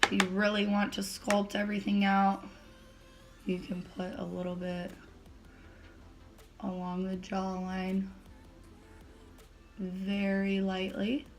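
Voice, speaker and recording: A young woman with a slightly raspy voice, still recovering from laryngitis, chatting casually through a makeup tutorial with lots of fillers. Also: medium pitch, completely flat delivery, slow, long pauses, soft background music. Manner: chatty, filler-heavy step-by-step explaining. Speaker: beauty vlogger.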